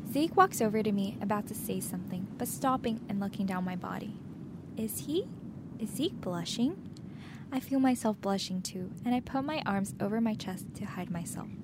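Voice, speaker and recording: Young female reader, first-person teen romance, dramatic delivery, mid-range voice, clear ambient vehicle noise. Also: medium pitch, expressive, moderate pace. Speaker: story reader.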